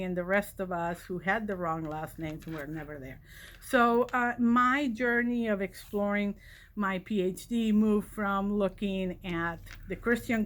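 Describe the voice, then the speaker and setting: A woman, a college vice president telling her personal story in a reflective, conversational way. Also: medium pitch. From Catalonia, Spain. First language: Catalan. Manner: reflective, conversational, many fillers. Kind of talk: personal origin story talk.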